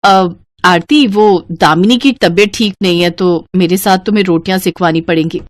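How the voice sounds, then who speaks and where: A woman's voice: on the low side, moderate pace, lightly acted. A woman voicing a bossy, breezy elder sister-in-law in a cartoon family story. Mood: hesitant, asking.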